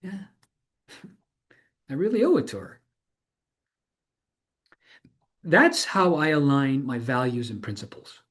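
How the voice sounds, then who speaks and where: A man performing a dramatized monologue as an earnest, reflective middle-aged former tech entrepreneur, halting and searching as he speaks. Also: medium pitch. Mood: earnest, grateful. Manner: halting, searching, self-correcting delivery with emphatic swells. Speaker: stage performer of a true-story monologue. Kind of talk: dramatized monologue in conversational interview manner.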